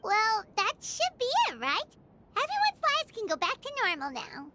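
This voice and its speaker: Squeaky, very high-pitched little fairy companion. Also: female voice, huge pitch swings, moderate pace, sharp tone, a little hiss or hum, full character voice. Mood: curious. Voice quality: squeaky, childlike. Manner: speaks of self in third person, perky. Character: small childlike female fairy companion, chirpy and curious. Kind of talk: video game character dialogue.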